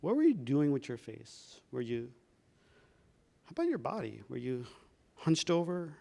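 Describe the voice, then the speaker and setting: A man, a doctor leading a workshop, guiding a reflection exercise with slow, calm prompting questions. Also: mid-range voice, vocal fry.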